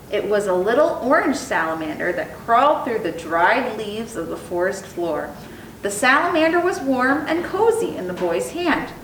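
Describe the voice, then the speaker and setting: Echoey, animated woman teaching children. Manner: expressive, explanatory, simple wording for children. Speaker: zoo educator, storytime host. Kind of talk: educational talk and picture book read aloud for children. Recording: echoey room, distant mic, faint rumbling noise.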